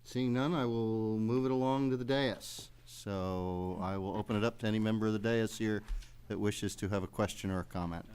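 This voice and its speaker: Clear, fairly low-voiced man chairing. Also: normal speed, darkish tone. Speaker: meeting chair. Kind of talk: formal procedural announcements.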